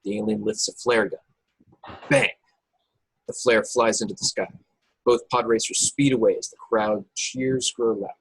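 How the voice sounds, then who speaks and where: A man's voice: fairly low pitch, steady tone, a little slow, creaky, pauses often, breathy edge, no room sound, rough recording. A man narrating stage directions from a script at a table read over a video call. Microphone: video call, close and dry.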